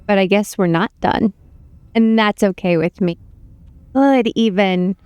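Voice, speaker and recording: A woman's voice: medium pitch, a little slow, darkish tone, smooth, no echo, dull audio, close to an everyday voice. A woman voicing a menacing, eager princess who taunts and relishes violence in a dramatic game script reading. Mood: taunting.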